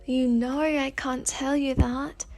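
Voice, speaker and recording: A woman's voice: high voice, level tone, takes it slowly, darkish tone, soft background music. A woman voicing a playful, pleading spirit in a mirror, with a British accent and a theatrical, whiny manner, in a story reading.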